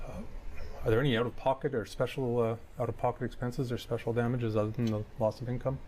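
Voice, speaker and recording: A man's voice: medium pitch, flat delivery, fast, darkish tone, slight background noise, lightly acted. A man playing a methodical lawyer who questions an injured claimant in a formal, probing way. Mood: formal, procedural. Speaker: examining lawyer. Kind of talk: staged legal discovery questioning.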